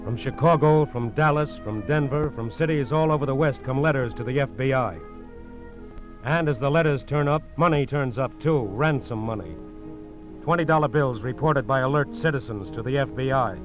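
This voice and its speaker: An actor as a grave, urgent American narrator of a 1940s radio crime drama, clipped, dramatic and emphatic. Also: male voice, mid-range voice, moderate pace, over music, close to an everyday voice. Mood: dramatic narration. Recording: dull old radio broadcast recording.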